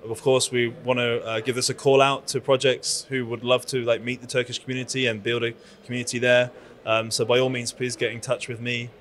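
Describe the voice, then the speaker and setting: A man in his thirties with a London-bred British accent, speaking with eager, chatty energy, full of fillers and restarts. He talks into a handheld mic at a conference booth. His voice sits at an ordinary middle pitch. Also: level tone, a little fast, a bit bright. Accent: British English. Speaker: conference founder and PR agency owner. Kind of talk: conference interview. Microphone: handheld microphone.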